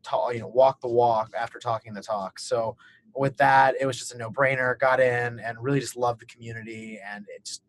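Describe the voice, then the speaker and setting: A man's voice: medium pitch, fairly even intonation, brisk. A young man from Kentucky, an MBA student on a webinar panel, telling his story in an upbeat, chatty way and laughing now and then. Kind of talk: casual webinar chat.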